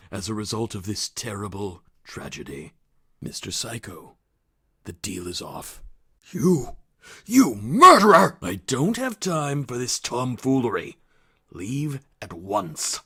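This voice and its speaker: A man's voice: medium pitch, a bit bright, breathy edge, heavily acted. A man playing a stern, irritable older school headmaster in a game, curt and indignant. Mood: horrified, accusing. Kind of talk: dramatic game voice lines.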